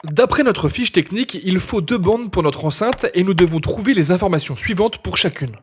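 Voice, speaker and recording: A man's voice: sits high, animated, normal speed, slight background noise. A man narrating a scripted tutorial as an instructor, explaining things step by step.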